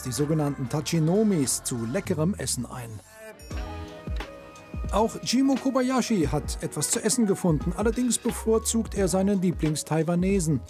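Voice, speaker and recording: A man reading a scripted TV report narration in a smooth, measured way. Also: on the high side. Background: soft music.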